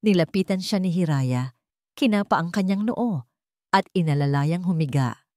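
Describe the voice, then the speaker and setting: A woman's voice: fairly low pitch, lively intonation, moderate pace, mellow, no room sound, clean studio sound. A woman reading a dramatic scripted story as its narrator.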